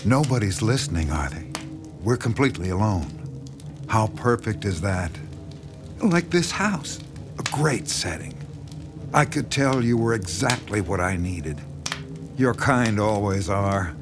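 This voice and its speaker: Horror radio drama: predatory writer, low register, unhurried, coaxing and condescending, music behind. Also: male voice, expressive. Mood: coaxing, intimate menace. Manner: slow, coaxing, intense, condescending. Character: sinister horror writer, coaxing, menacing, obsessive male predator.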